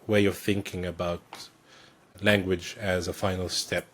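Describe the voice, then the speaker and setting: Low husky man explaining at length. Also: flat delivery, a little slow. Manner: thoughtful, many fillers and restarts. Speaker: guest, technical director. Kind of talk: conversational explanation.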